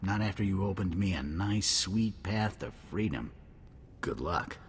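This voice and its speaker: A man's voice: sits low, expressive, unhurried, slight background noise. An actor playing a sly, wry older man, a laid-back survivor in a video game. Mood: sly, relaxed. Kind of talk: video game cutscene acting. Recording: long hall reverb, music behind.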